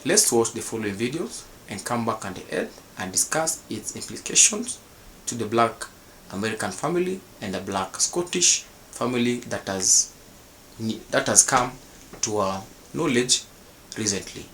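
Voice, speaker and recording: A man hosting his own channel, reading a formal, repetitive script with pauses and restarts. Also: medium pitch, sing-song, fairly bright tone, a little hiss or hum, rough recording. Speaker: channel host. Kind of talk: scripted reaction-channel narration.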